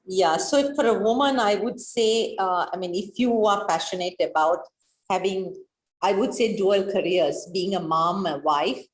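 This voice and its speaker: An echoey room surrounds this woman's voice, which has a Sri Lankan accent and a middle pitch. She talks conversationally as an interview guest, earnest and encouraging. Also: measured pace. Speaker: interview guest, business leader. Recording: echoey, roomy sound.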